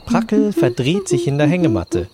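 A man's voice: sits high, wildly swooping pitch, normal speed, background noise. A man narrating a scripted German radio play as a storyteller in the present tense.

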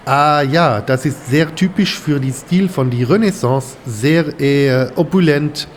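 An actor playing a courteous, enthusiastic French museum guide with a French accent, speaking deliberately and searching for words. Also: male voice, on the high side. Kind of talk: German audio drama, guided museum tour. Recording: reverberant hall with faint ambient noise.

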